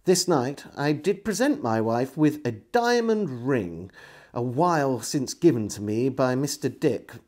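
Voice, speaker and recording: A middle-aged man reading a historical diary aloud as a storyteller, in an expressive, measured way. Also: medium pitch. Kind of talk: dramatic literary reading. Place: indoors, small room lined with bookshelves.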